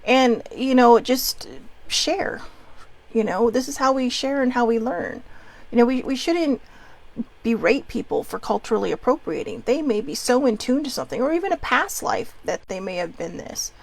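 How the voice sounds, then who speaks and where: A fairly high-voiced woman in her thirties, originally from Hawaii, talks through an oracle card reading at home. She rambles off into personal asides and circles back to the cards. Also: lively intonation, moderate pace, gravelly. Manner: chatty and rambling, with asides and restarts. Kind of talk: casual spiritual card reading.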